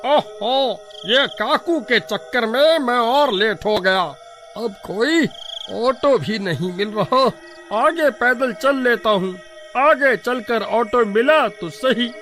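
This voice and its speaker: A man playing a hurried, anxious traveller in a cartoon horror story, fretful and pleading. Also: extremely high pitch, expressive, a bit bright, faint music behind, full character voice.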